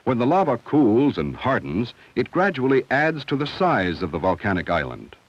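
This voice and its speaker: A man reading scripted narration for a 1970 educational film in a measured, instructional, clearly enunciated way. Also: medium pitch, huge pitch swings, no room sound. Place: studio voice-over. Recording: dull, dry vintage film soundtrack.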